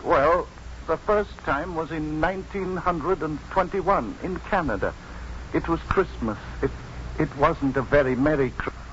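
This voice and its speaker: Mild, plain-spoken ex-convict, 1950s radio play. Halting delivery, higher register, light hiss. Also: male voice, a bit bright. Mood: earnest, halting. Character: slow, simple, mild-mannered male ex-convict, earnest storyteller. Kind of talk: 1950s radio drama acting. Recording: muffled, noisy 1950s radio broadcast.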